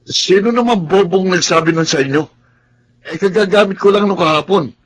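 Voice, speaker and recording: Casting: greedy villain heir, slightly high husky voice, sneering, blustering radio drama delivery. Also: male voice, normal speed. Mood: flustered, defensive. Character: scheming, greedy grown son, defensive villain. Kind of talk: Filipino radio drama acting.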